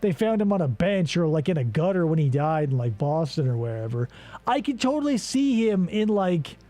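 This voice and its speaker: High, lively man hosting a joke game show. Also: moderate pace, no echo, faint music behind. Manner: rambling, profane, sarcastic asides. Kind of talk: casual comedic game show chat.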